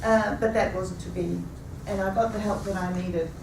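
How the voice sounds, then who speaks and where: Woman, fifties, rehearsed personal story, unhurried, recorded far off in a roomy hall with audible background noise. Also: medium pitch, fairly even intonation, gravelly, a little mellow. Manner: measured, deliberate delivery. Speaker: speaker. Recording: echoey room, taken from a distance.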